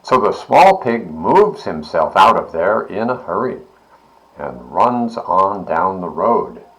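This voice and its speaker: A man with a lowish voice reads a children's story aloud. He is deliberate and slow, with wide, expressive swings of pitch. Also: rough recording. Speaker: volunteer story reader, library board member.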